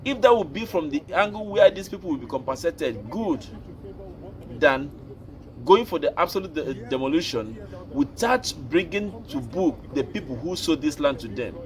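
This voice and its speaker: A Nigerian man hosting an opinion show, speaking slowly and deliberately, like a preacher. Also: fairly high pitch, very animated, rough recording. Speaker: commentary host. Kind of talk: opinion commentary.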